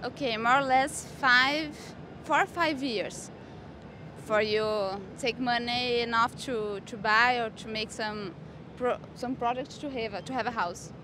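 A woman's voice: a little high, steady tone, measured pace, noisy background. Woman searching for words on a busy street. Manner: searching for words, simple phrasing. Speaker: passerby. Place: busy downtown street outdoors.

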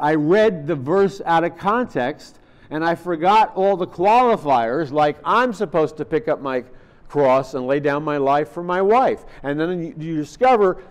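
Animated male conference preacher. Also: smooth. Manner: animated, swings from loud emphatic to low asides. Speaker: conference speaker. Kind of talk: humorous sermon storytelling. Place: conference hall stage at a podium. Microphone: lapel mic.

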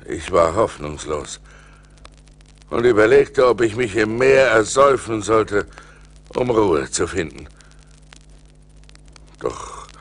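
An actor playing a shipwrecked sailor who recounts his perils in a husky, theatrical, suspenseful way, in an audio drama from a vinyl record. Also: fairly low pitch, a bit bright, full character voice. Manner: dramatic, suspenseful delivery with deliberate pauses. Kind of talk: theatrical radio-play storytelling narration.

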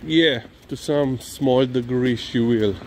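Male vlogger, Slovenian accent, mid-pitched, very leisurely and rambling delivery, recorded outside on a walk. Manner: hesitant, halting delivery with frequent "you know". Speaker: dog owner and vlogger. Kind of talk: casual walk-and-talk vlog, rambling. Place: outdoors, on a walk. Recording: clearly noisy outdoor ambience, vehicle sounds.

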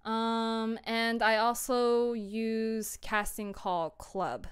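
A woman's voice: a little high, fairly even intonation, drawn-out words, clean tone. A young woman chatting casually on a livestream and answering viewers in a scattered, self-interrupting, informal way. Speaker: audio drama producer and livestreamer.

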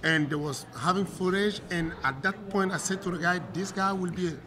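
A man's voice: sits high, steady tone, a little fast, pushed and bright, slight background noise. A middle-aged man from Cameroon with a French accent, a coach talking in a casual interview, often repeating words as he searches for English. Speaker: MMA coach. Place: hotel room, indoors. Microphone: desk mic on stand.

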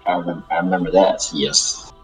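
A man's voice: low-pitched, steady tone, moderate pace, lightly acted, rough recording. A man playing an insecure, earnest good demon in an improvised game roleplay, hesitant and often repeating himself. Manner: hesitant, stammering, repeats phrases. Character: insecure, earnest good demon shunning his evil kind. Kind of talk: improvised Minecraft anime roleplay. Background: music.

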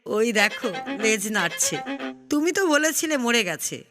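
Female reader as male fox, storybook, sly, coaxing, mock-innocent, animated pitch, music behind. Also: unhurried. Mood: mocking slyness. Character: sly, clever male fox posing as a teacher. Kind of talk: children's storybook reading.

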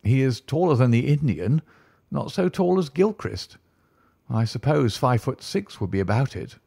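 A man's voice: medium pitch, big pitch swings, moderate pace, gravelly, mellow, husky, dry recording, clean studio sound, pitched above the performer's own voice, exaggerated voice. A man voicing an agitated, fussy male college tutor in an audiobook, pleading and anxious.

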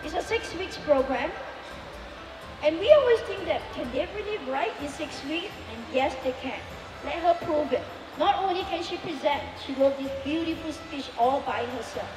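Middle aged lady emceeing at a mall, high voice, roomy echo, music playing. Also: expressive, normal speed, far from the mic. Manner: upbeat, promotional. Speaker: event host, speaking coach. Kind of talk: emcee introduction. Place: indoor mall stage. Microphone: handheld mic, far camera. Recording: echoey mall heard from afar with music.

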